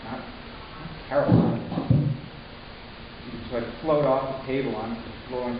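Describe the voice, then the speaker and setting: A man playing a troubled, resentful human youth in a dramatic first-person story reading, halting and intense with deliberate pauses. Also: medium pitch, steady tone, close to an everyday voice. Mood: anxious. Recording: distant, very echoey room, muffled, hissy.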